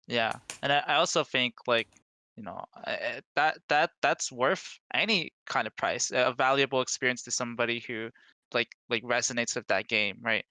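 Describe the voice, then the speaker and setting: A man speaking earnestly and haltingly as a developer guest in a casual interview. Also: medium pitch, sharp tone, no echo. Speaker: indie game developer, podcast guest.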